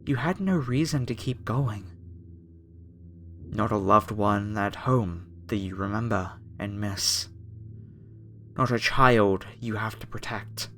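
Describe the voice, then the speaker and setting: A man's voice: lowish voice, takes it slowly, pauses often, bright, forceful tone, slight background noise, full character voice. A man playing a polite, apologetic masked cultist, a former music director, in a horror ASMR roleplay addressed to the listener. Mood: calm, reverent menace.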